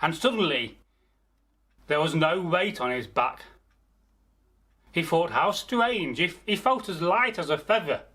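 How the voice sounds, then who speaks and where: Slow, higher-pitched man telling a folktale. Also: animated, fairly bright tone. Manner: theatrical, unhurried, pauses mid-phrase, addresses listeners. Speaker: storyteller, channel host. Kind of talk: oral folktale storytelling, improvised.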